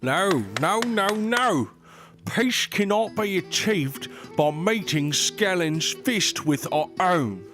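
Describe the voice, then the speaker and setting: Old wise male advisor played by a man, formal and measured, fantasy radio drama, faint guitar music behind. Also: high voice, expressive. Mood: alarmed. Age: older. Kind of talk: fantasy audio drama acting.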